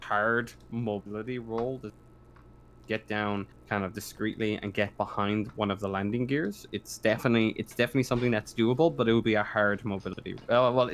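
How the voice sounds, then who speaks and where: A man's voice: fairly low pitch, a little hiss or hum. A man running a tabletop game as game master, improvising his narration and often stammering or revising his phrases as he goes.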